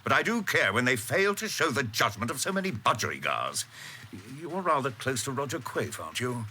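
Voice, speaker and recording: An actor playing a dry, disdainful senior civil servant in a radio drama, formal, with pompous irony. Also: male voice, mid-range voice, big pitch swings, fairly quick, bright, forceful tone, a little hiss or hum.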